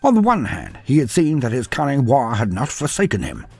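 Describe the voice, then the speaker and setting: A man's voice: mid-range voice, huge pitch swings, brisk, a little mellow, husky, no echo. A man narrating a humorous story in a dry, wry manner, breaking off into playful sidebars. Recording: faint music behind narration.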